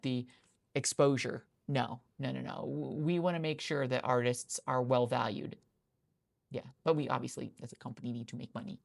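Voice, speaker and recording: A woman improvising a conversational ASMR roleplay as a job interviewer, with halting phrasing and a gravelly creak. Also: a bass voice, darkish tone.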